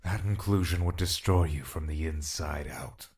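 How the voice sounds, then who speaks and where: A man voicing a calm, aloof male spirit in an audio drama. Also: very deep voice, moderate pace.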